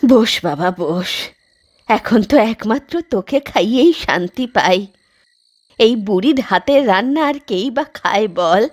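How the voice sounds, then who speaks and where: A woman's voice: medium pitch, expressive, a little slow, darkish tone. A woman voicing a grieving, affectionate old village aunt in a cartoon, with a plaintive, homely manner. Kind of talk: cartoon voice acting.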